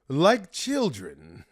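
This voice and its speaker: A man voicing a crazed, theatrical clown villain, gleeful and menacing, with a gravelly, sharp voice. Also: lowish voice, exaggerated voice. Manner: theatrical, unhinged, drawn-out. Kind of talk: cartoon villain voice demo.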